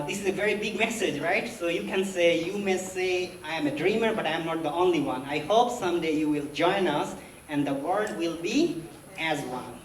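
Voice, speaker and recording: Low-voiced woman hosting a conference. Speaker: event host, speaker. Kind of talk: conference speech and introduction. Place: conference hall. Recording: echoey hall from far off, faint noise.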